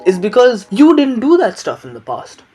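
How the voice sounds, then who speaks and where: A man's voice: very high voice, wildly swooping pitch, moderate pace, a little mellow, faint music behind. A man giving a casual, opinionated commentary in a rambling, emphatic way with jokey asides. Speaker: video commentator.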